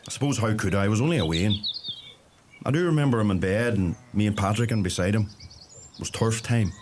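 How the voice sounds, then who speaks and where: An actor playing a young, dry-humoured Donegal farmer in a radio play, understated and colloquial in manner. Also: male voice, on the low side, quick pace, a little hiss or hum, close to an everyday voice. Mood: wistful, reminiscing. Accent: Donegal Irish. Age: young adult.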